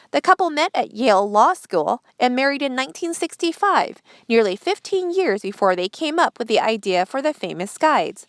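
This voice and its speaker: A woman reads a scripted feature as the host of a news series. Her voice sits on the high side and moves through a very lively range of pitch. The recording is close and dry, with little room sound. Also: dry recording. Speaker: host reading articles aloud. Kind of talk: scripted narration, read-aloud news feature.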